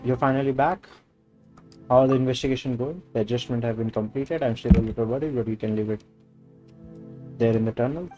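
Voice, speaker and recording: Mellow, low-key Indian man streaming a game. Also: brisk, pauses often. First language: Hindi. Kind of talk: casual gameplay chat, reading game dialogue aloud. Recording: game music behind the voice. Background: video game music.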